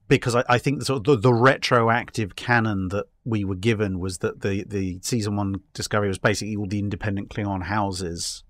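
Man, lowish voice, casual chat, discursive, wryly amused, dry recording. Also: fairly even intonation, fairly quick, fairly bright tone, no room sound. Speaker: podcast guest answering fan questions.

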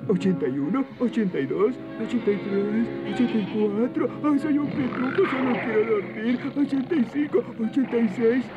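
A sleepy, lonely cartoon gnome with a high, whiny voice. The sound is slightly dull, with loud music underneath. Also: male voice, moderate pace, hardly any pauses. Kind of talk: dubbed children's cartoon acting.

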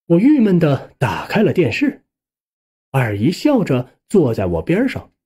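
Male storyteller for audiobook narration, very animated intonation, dark tone, measured pace. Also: fairly high pitch, slightly rough. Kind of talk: scripted first-person story narration.

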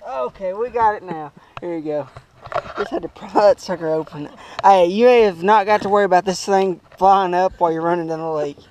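A young man giving a playful, teasing tour of a bass boat outdoors on a lake, laughing often, recorded on an action camera. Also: fairly quick, a little mellow, slight background noise. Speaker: vlog host.

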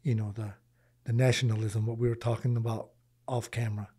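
Earnest man, warm husky voice. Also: lowish voice, little pitch movement, brisk. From Lebanon, raised in Michigan, US. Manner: earnest, repeats words while thinking, uses Arabic religious phrases. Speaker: podcast guest, charity founder. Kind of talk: casual interview conversation. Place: small podcast studio indoors. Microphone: boom-arm studio mic.

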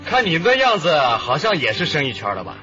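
Male, sly boastful hustler, radio drama, rather high voice, very animated, salesman patter. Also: brisk, exaggerated voice. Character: wily, boastful middleman peddling smuggled Japanese goods. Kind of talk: Chinese radio drama acting. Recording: dull radio drama audio with background music.

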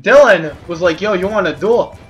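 A man improvising a comic story to friends over voice chat, halting and giggly, often repeating himself. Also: sits high, expressive, lo-fi sound, soft background music. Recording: noisy gaming voice chat with clicks.

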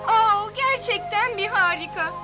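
Squeaky, chirpy girl. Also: a little slow. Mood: amazed. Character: amazed, chirpy young cartoon girl. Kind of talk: dubbed cartoon acting. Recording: cartoon dub over music.

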